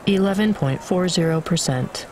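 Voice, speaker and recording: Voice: medium pitch, normal speed, background noise, natural voice. An actor playing an emotionless AI assistant in a video game, reciting data in a formal, precise way. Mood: clinical. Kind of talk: scripted game dialogue, reading data.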